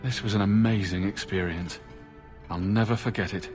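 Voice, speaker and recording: An actor playing an earnest, moved grown son in a video game. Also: male voice, fairly low pitch, steady tone, normal speed, close to an everyday voice. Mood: moved, awed. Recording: long reverb, faint music behind.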